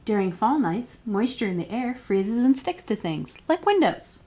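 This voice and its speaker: A woman's voice: a little high, sing-song, moderate pace, mellow. A woman reading a children's picture book aloud as a storytime librarian, expressively and with questions put to young listeners.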